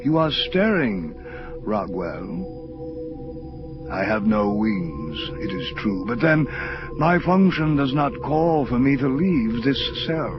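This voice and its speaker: Audio drama part: serene, cryptic old angel, very slow formal delivery, dull sound with music underneath. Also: male voice, mid-range voice, very animated. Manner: measured, formal, ponderous. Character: ancient wise male angel, serene, cryptic thinker.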